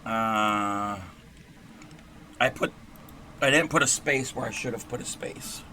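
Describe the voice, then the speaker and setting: A man with a hoarse, scratchy voice who talks through a crochet tutorial in a rambling, chatty way and clears his throat often. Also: mid-range voice, slow pace, pushed and bright. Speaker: crochet livestream host.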